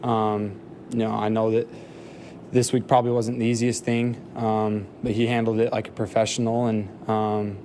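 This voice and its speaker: A young man in his twenties answering questions at a postgame press conference, soft-spoken with many fillers. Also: lowish voice, level tone, normal speed, a little hiss or hum. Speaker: football player at a press conference.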